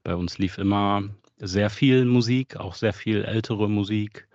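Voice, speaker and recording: A man's voice: fairly low pitch, unhurried, a little mellow, no room sound, highs cut off. A man talking casually and reflectively about his music in an interview. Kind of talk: relaxed interview talk.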